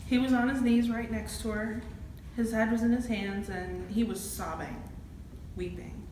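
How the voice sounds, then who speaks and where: A woman's voice: fairly high pitch, steady tone, a little slow, a little mellow, lightly acted. Echoey, noisy woman officer acted giving testimony. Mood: somber. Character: shaken, plain-spoken woman campus safety officer testifying. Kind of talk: amateur courtroom drama monologue. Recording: echoey, distant room with clear noise.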